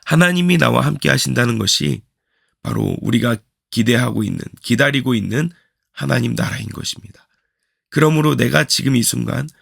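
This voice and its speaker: A man, a pastor, giving a slow, earnest devotional sermon, his voice hoarse from a cold. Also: mid-range voice, no room sound, clean studio sound. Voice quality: gravelly. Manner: slow, earnest preaching with repeated reflective questions.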